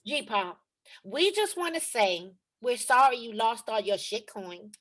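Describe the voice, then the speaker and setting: An actress at a table read playing a brash, slangy young granddaughter. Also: female voice, a little high, sing-song, a little slow, fairly bright tone, exaggerated voice. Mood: sassy. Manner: sassy, slangy.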